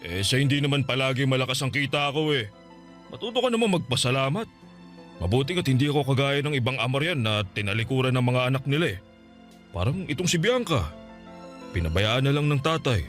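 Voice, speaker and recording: A man's voice: mid-range voice, sing-song, fairly quick, a bit bright, faint music behind. Boastful Filipino father, swooping delivery. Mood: defensive, indignant. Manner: wheedling, self-righteous, scolding. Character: boastful, manipulative middle-aged father. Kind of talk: Filipino radio drama acting.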